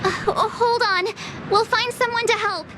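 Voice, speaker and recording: A woman's voice: very high-pitched, fairly even intonation, moderate pace. A woman voicing a small, childlike, curious and worried companion in a video game, with music behind her. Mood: worried, alert.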